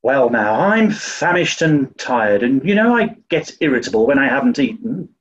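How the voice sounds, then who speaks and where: A pompous, tetchy English toff, played by a man, speaks with very lively, swooping intonation. The manner is stuffy and slow-witted radio comedy, on a slightly muffled microphone. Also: mid-range voice, close to an everyday voice. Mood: tetchy, flustered. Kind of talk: 1940s radio comedy acting.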